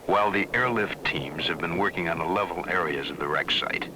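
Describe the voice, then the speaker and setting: A man's voice: deep voice, brisk, poor audio. A man reading scripted documentary narration, recorded on an old film soundtrack. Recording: vintage 16mm film soundtrack. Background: music.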